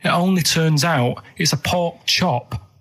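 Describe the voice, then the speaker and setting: A man's voice: on the high side, a little slow, a bit bright. An English man co-hosting a radio show, rambling in an earnest, matter-of-fact, colloquial way. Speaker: radio show co-host telling odd stories. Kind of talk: rambling casual chat. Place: radio studio.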